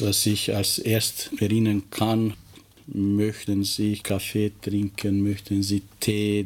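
A middle-aged man from the former Yugoslavia with an Albanian accent, a teacher answering interview questions in halting, non-native phrasing. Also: lowish voice. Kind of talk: interview answers in a second language.

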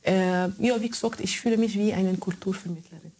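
Arabic-accented woman, halting interview speech. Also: mid-range voice, dark tone. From born in Germany, raised in Kuwait and Egypt. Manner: halting, searching for words in non-native German. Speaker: radio show maker being interviewed. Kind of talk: conversational interview answers.

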